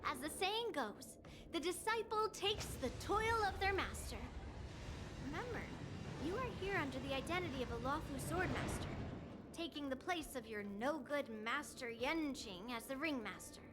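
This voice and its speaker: A self-assured young sword master, speaks in a high voice with music clearly behind her. She is teasing and a little bossy, a mentor in anime-style game acting. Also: lively intonation, moderate pace.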